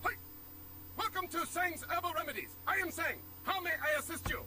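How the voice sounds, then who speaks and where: A man's voice: extremely high pitch, moderate pace, bright, forceful tone, full character voice. An actor playing a polite, formal male herbal remedy shopkeeper in a video game. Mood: polite, eager. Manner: polite, formal sales greeting. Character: military-looking herbal remedy shopkeeper, high-voiced and polite. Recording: distant game audio, faint background noise.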